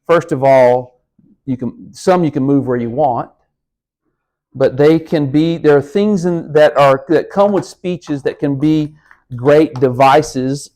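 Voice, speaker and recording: A man's voice: fairly high pitch, darkish tone. A man lecturing his class as a speech instructor, talking in an encouraging, conversational way straight to the students. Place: room with a lectern.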